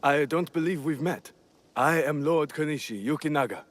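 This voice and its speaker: An actor playing a polite, dutiful Japanese lord in a video game, formal and earnest. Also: male voice, on the high side, moderate pace, close to an everyday voice. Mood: courteous, earnest. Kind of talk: video game cutscene acting.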